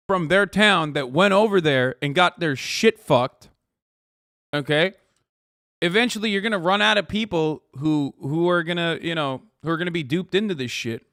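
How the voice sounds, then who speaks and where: Streamer guy from turkey ranting, lots of swearing, bit high voice, dry close sound. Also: fairly bright tone, no echo. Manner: profane, emphatic, rambling with restarts. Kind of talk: reacting to clips, casual rant.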